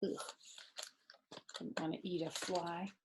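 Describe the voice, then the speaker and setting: A middle-aged woman doing a read-aloud storytime for children, explaining things simply in a deliberate way with chatty asides, recorded in a living room. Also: on the low side, flat delivery, slight background noise. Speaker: storyteller reading picture books to children. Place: small furnished living room, indoors.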